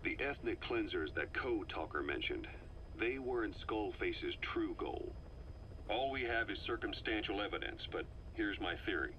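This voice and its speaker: An actor playing a shrewd, intense middle-aged intelligence officer in a video game, delivering an analytical, emphatic, deliberate briefing monologue. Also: male voice, fairly low pitch, lively intonation, highs cut off. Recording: faint noise, cassette-style recording.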